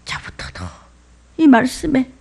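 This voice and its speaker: Middle-aged woman pastor, Seoul accent, animated and slightly high-pitched, emphatic rhythmic preaching. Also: measured pace, creaky, breathy edge. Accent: Seoul dialect. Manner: emphatic, rhythmic listing with sudden whispers and shouts. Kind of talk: sermon.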